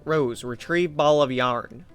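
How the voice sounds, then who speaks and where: A man's voice: fairly high pitch, slow, clear, resonant tone. A man reading scripted second-person story narration as the narrator of a fan drama. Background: music.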